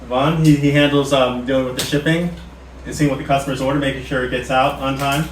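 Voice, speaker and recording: An American man hosting a casual staff tour, talking in a halting way and repeating words. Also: mid-range voice, flat delivery. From United States. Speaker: host of a factory tour. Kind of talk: casual chat, introducing staff. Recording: echoey noisy workspace, distant mic.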